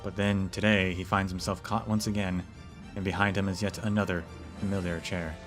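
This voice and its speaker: A man streaming and reading a game's story aloud, relaxed, with chatty asides to viewers. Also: low-pitched, fairly quick, soft background music. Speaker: streamer narrating a game.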